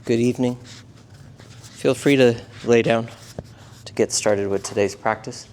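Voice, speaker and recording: A man leads a live yoga and meditation class in a mid-pitched voice. He speaks in short, gentle phrases, unhurried, pausing often as he guides the group through the practice. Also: steady tone, slight background noise.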